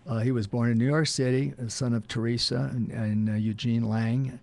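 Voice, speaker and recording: Gravelly rambling radio host, man. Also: medium pitch, steady tone, normal speed, a little mellow. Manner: rambling, hesitant with many fillers. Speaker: radio talk show host. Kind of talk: casual radio talk, rambling intro.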